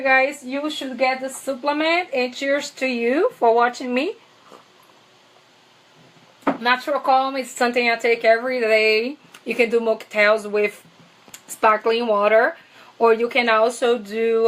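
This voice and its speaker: A woman's voice: on the high side, a bit bright. Chatty Brazilian-accented woman. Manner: chatty, many fillers, enthusiastic. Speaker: essential oils seller. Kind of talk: casual product vlog.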